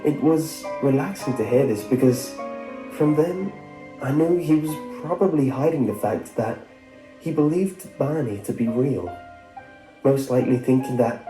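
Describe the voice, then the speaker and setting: Dark-toned man narrating a story. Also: medium pitch, measured pace. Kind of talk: scripted first-person story narration. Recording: roomy sound with music behind. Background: background music.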